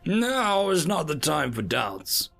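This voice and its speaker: A man's voice: high voice, big pitch swings, moderate pace, creaky, fairly bright tone, faint music behind, pitched above the performer's own voice, exaggerated voice. A man voicing a self-assured, airy and curt alien senior observer in a science fiction story. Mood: curt, firm. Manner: firm, dismissive.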